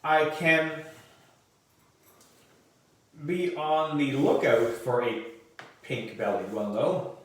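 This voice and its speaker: A man's voice: fairly high pitch, drawn-out words, pauses often, a bit bright. A man voicing a friendly, obliging pet shop seller in a children's storybook. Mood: apologetic. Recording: distant mic in echoey room.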